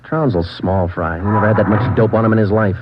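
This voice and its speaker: Deep-voiced deadpan police detective played by an actor. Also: male voice, quick pace, noisy background, close to an everyday voice. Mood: brisk, businesslike. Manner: clipped, matter-of-fact, deadpan. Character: terse, businesslike male police sergeant detective. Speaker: actor playing the detective lead and narrator. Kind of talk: 1940s radio crime drama narration. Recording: dull old 1940s radio recording, music.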